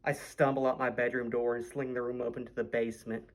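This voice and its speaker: A teenage boy, a high school student, giving a dramatic first-person horror reading. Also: flat delivery, fast. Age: teens.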